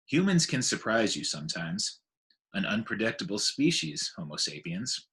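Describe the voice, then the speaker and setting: A man voicing an old female elephant, weary, gentle and wise, speaking in a measured storybook manner. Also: lowish voice, sing-song. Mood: weary, musing.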